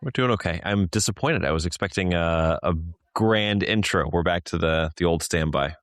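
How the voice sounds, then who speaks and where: Deep-voiced teasing man on a podcast. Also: moderate pace, fairly bright tone, faint music behind. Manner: playful, teasing, laughs often, restarts words. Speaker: regular podcast guest. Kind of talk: casual chat.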